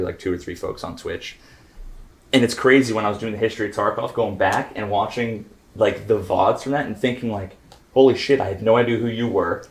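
A man with a fairly low voice hosts a live podcast at a convention. He talks casually, rambling and joking as he tells stories. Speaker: podcast host. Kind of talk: casual chat. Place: convention venue.

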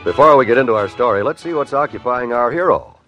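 A man's voice: mid-range voice, lively intonation, quick pace, a bit bright, highs cut off. A man announcing a mid-century radio comedy show in period style. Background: music.

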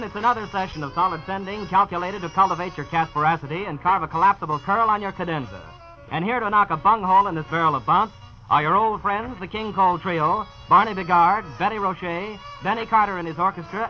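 A male announcer from a 1940s radio variety show, delivering hip jive patter with punchy showmanship. His voice rides high, over prominent music on a dull, muffled old broadcast recording. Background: swing music.

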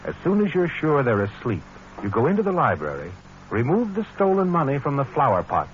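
Ominous vintage radio suspense narrator, knowing and dramatic, very animated delivery, dull old broadcast sound. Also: male voice, medium pitch, a little fast, slight background noise. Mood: knowing, suspenseful. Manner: knowing, dramatic, addresses the character directly. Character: ominous male narrator needling the guilty protagonist. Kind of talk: 1940s radio thriller narration in second person. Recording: muffled old radio recording with music.

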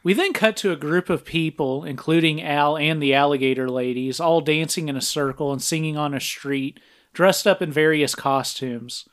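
A young man with a somewhat higher voice than most men walks through a film's scenes in a casual podcast. He talks steadily and breaks into laughter often. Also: normal speed. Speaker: podcast co-host. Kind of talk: casual chat and film recap.

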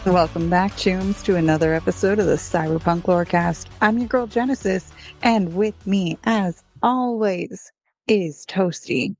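Laid-back woman podcaster, lower-pitched voice. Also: unhurried, darkish tone, faint music behind. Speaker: podcast host. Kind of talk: casual podcast chat with some scripted intro.

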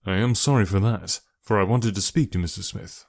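A man voicing a shrewd, suave detective in an audiobook, calm, measured and smoothly bland. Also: lowish voice, lightly acted. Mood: bland, probing. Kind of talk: audiobook character voice.

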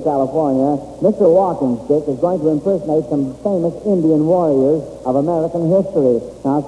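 A man hosting an old-time radio quiz show from a stage, talking in a halting, folksy way. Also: a little high, narrow, tinny audio. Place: stage in an auditorium. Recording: echoey hall, thin old radio recording, hiss.

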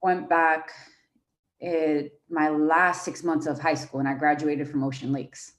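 Low-voiced young woman chatting casually. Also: unhurried. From Venezuela. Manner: relaxed, many "like" fillers. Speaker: podcast guest, fashion brand founder. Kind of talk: casual interview chat.

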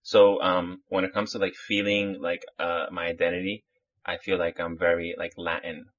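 A man's voice: low voice, little pitch movement, moderate pace, a bit bright. A man from Chile, a podcast guest, chatting casually and rambling with many restarts. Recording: muffled lo-fi audio.

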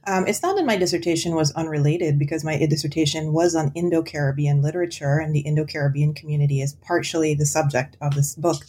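A woman's voice: deep voice, quick pace, hardly any pauses, darkish tone, a little hiss or hum. A woman from Guyana, an author discussing her book in an interview, thoughtful with frequent ums and restarts. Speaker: author and guest. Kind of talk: academic interview conversation.

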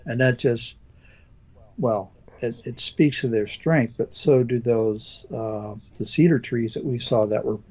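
A man's voice: medium pitch, unhurried, a little mellow. A man hosting a podcast, asking questions and chiming in while he is losing his voice. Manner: conversational, asks questions, interjects often. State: losing his voice, clearing throat. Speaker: podcast host. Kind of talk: casual podcast chat. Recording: dull lo-fi sound.

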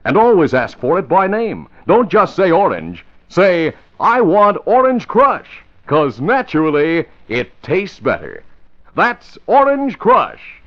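A man's voice: sits high, wildly swooping pitch, takes it slowly. A man reading scripted lines as an old-time radio announcer and narrator. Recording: muffled, faintly noisy vintage radio recording.

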